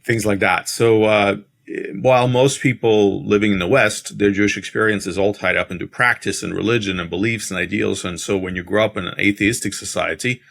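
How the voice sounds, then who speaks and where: A middle-aged man from Siberia with a Russian accent, telling his life story in a halting, reflective, conversational way as a podcast guest. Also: on the low side, fairly even intonation, creaky, fairly bright tone.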